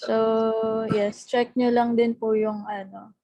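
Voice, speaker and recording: A woman's voice: on the high side, flat delivery, slow pace, gravelly, dark tone, no room sound, dull audio, faint music behind. A woman with a Filipino accent giving a group casual instructions on a video call, polite and hesitant.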